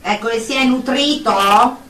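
A woman's voice: on the high side, unhurried, crowd noise, lightly acted. A woman playing a stern, controlling group therapist in a radio drama, speaking formally and with authority. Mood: probing. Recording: roomy, distant mic, faint noise.

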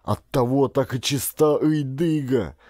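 A man voicing an excitable middle-aged explorer father and scientist in an audiobook, exclaiming with rapturous emphasis. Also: mid-range voice, unhurried, no room sound, studio quality, voice pushed up, close to an everyday voice. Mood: elated, excited. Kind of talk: audiobook character acting.

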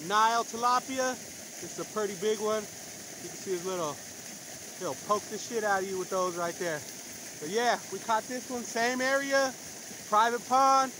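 A man's voice: extremely high pitch, bright, forceful tone. A man, vlogging his fishing outdoors on a phone held at arm's length, slangy and hyped, whooping after each catch. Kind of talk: casual outdoor vlog commentary. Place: outdoors by a pond and river. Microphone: phone camera at arm's length. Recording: noisy outdoor phone recording.

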